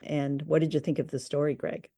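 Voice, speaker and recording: A woman hosting a conversational commentary show, talking in a halting, appreciative way. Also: sits low, little pitch movement, warm tone, no echo, studio quality. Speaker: host and commentator.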